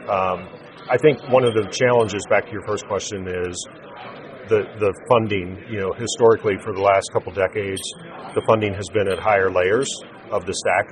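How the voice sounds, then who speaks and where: A man giving measured, unscripted corporate explanations as an interview guest. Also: fairly low pitch, rough recording. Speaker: interview guest, telecom sales executive. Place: busy naval trade show event.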